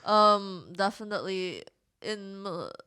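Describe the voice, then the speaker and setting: A woman's voice: medium pitch, animated, slow pace, sharp tone, clear, resonant tone. A woman from Malaysia, a podcast guest, chatting casually and easily, often laughing and agreeing briefly. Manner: easygoing, laughs often, short agreeing replies. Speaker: guest, street interviewer. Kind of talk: casual interview chat.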